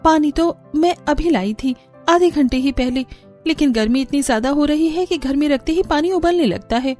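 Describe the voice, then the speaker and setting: Woman voicing a high-pitched young village daughter-in-law. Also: normal speed, a little mellow, soft background music, natural voice. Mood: earnest. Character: poor young village daughter-in-law, earnest, weary of the heat.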